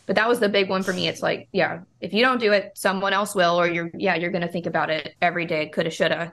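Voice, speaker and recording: A woman's voice: on the low side, fairly even intonation, quick pace, vocal fry, a bit bright. A woman, a brewery co-owner, chatting casually and rambling with lots of fillers. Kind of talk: casual interview.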